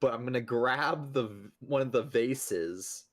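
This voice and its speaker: A man's voice: mid-range voice, a little slow. A man playing a tabletop role-playing game, casually chatting and describing his character's moves. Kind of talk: casual role-play narration and chat.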